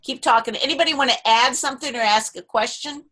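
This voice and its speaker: A woman's voice: sits high, quick pace, pushed and bright. A woman hosting a casual video call workshop, telling stories in a chatty way. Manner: chatty storyteller. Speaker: workshop host.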